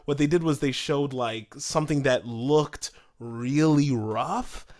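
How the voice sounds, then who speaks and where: A man's voice: mid-range voice, takes it slowly, darkish tone. A man co-hosting a podcast, giving casual opinions in a conversational way. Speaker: podcast co-host.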